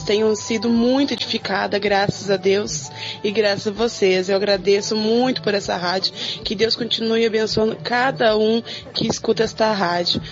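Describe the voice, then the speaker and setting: Grateful woman listener on lo-fi radio. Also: mid-range voice. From southern Brazil. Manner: grateful, devout. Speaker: radio listener leaving a message. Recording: lo-fi radio audio with faint music.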